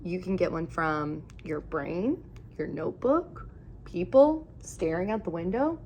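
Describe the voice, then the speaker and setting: Female storyteller for children's read-aloud, very expressive swooping delivery, emphatic phrasing, noticeable background noise. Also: on the low side, unhurried.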